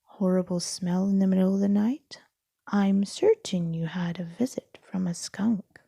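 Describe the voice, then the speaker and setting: A knowing storybook owl voiced by a woman reader, measured and mellow. He explains things matter-of-factly, with a creaky edge. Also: mid-range voice, fairly even intonation, dry recording, clean studio sound. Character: wise, knowing male owl, storybook bird.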